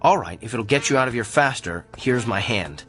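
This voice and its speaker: A man's voice: medium pitch, lively intonation, fairly quick, fairly bright tone, soft background music, natural voice. A man acting in a radio drama, playing an arrogant, self-assured and brusque doctor. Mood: defiant, dismissive. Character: brilliant, arrogant, tactless male doctor. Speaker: actor.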